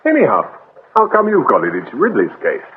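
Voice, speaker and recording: An actor playing a jovial, teasing English police officer in a radio drama, chatty and fond of gossip. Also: male voice, medium pitch, huge pitch swings, a little fast. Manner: jovial, chatty, old-boy turns of phrase. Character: breezy, teasing, gossipy male police colleague. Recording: muffled lo-fi radio recording with hiss.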